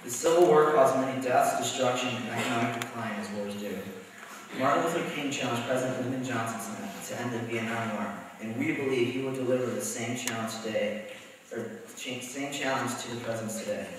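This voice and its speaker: A reverberant, noisy hall holds the voice of a young man. He reads scripted narration at a medium pitch, as a student at a chapel event. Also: fairly quick. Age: young adult. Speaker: student reader. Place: large school chapel. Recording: echoey hall, distant mic, clear noise.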